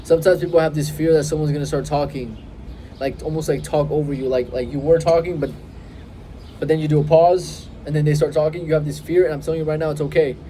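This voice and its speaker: A young man in his twenties speaks straight to a phone held close, coaching with confident emphasis and deliberate pauses. His voice sits a touch high yet stays warm and mellow. Faint outdoor noise hums underneath. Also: fairly even intonation. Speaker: self-improvement coach. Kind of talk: direct-to-camera advice vlog.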